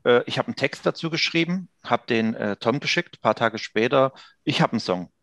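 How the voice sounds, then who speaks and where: A man's voice: medium pitch, animated, brisk, fairly bright tone, no room sound, clean studio sound. A man in his thirties chatting casually as a guest in an interview, speaking into a headset on a video call. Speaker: audio drama producer, interview guest. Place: indoor office room on a video call.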